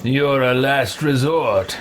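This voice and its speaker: A man voicing a doddering, self-regarding old fantasy hero in a game, halting and muddled. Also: mid-range voice, slight background noise, natural voice. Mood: blunt.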